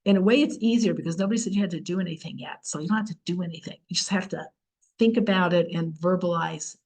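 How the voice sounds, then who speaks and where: A woman's voice: on the low side, rough recording. A woman teaching a Torah class on a video call, explaining earnestly while her voice is going. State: losing her voice.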